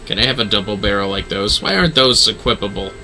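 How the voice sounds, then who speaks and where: A man's voice: on the low side, expressive, quick pace, bright, forceful tone, soft background music. A man livestreaming a video game with casual, offhand commentary and sweary joking asides.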